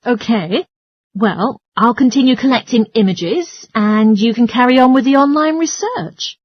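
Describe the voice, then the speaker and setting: A woman reading scripted listening test dialogue and instructions in an articulate, orderly way, with a slightly husky voice. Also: fairly high pitch, a little slow, dry recording. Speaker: listening test voice actor and announcer.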